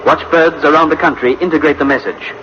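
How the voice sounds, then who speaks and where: An actor playing a terse, grave narrator in a 1960s science fiction radio drama. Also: male voice, a little high, steady tone, brisk, lightly acted. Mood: grave, reporting. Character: terse, grave male narrator reporting machine behaviour. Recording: muffled radio buried in loud noise.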